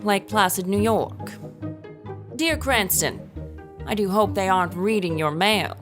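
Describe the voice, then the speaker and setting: A woman's voice: medium pitch, fairly bright tone, close to an everyday voice. A woman playing a witty, worldly sister reading her letter aloud in a period radio drama, arch and articulate.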